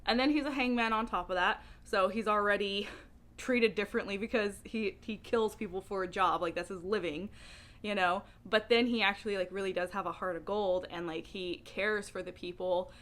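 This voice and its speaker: Bright-voiced, chatty woman vlogger. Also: mid-range voice, steady tone, normal speed. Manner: giggly, laughs often while talking. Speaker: book reviewer vlogger. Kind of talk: casual chatty video monologue.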